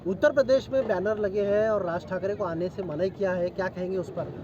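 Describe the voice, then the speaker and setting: A man's voice: high-pitched, fairly quick, background noise. A man with an Indian accent, a reporter putting questions at a press gathering. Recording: dull, distant voice amid outdoor crowd.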